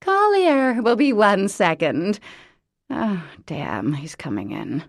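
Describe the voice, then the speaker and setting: A woman's voice: mid-range voice, big pitch swings, takes it slowly, no echo, studio quality, pitched above the performer's own voice, full character voice. A woman voicing a vain, bossy middle-aged society mother in an audiobook, theatrical and dramatic. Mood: scheming. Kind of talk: audiobook character voice.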